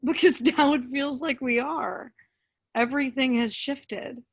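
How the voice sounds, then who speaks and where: A woman playing a wistful, reserved, gently wry young woman in a staged comedy reading. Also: high voice, measured pace, breathy edge, exaggerated voice. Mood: wry, awkward. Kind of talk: staged reading of a comic play.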